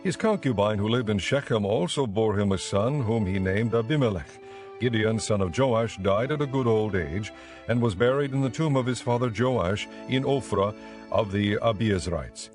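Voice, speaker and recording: Low-voiced male scripture narrator. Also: moderate pace, a little mellow, soft background music.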